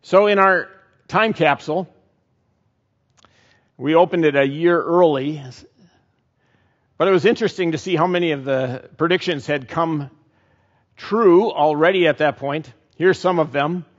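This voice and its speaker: A middle-aged man preaching a sermon and leading a closing prayer, slow and earnest with frequent pauses, on a headset mic. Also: a little high. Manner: slow, earnest, pausing often. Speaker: pastor. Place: church stage, indoors. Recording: dull church recording with long reverb.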